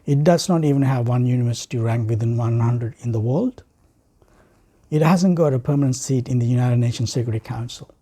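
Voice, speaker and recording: A Sri Lankan man reads out a prepared speech in a very dark, soft-edged voice. His tone is husky and mid-pitched. He speaks formally and deliberately, setting off phrases with pauses for emphasis. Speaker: writer and speaker.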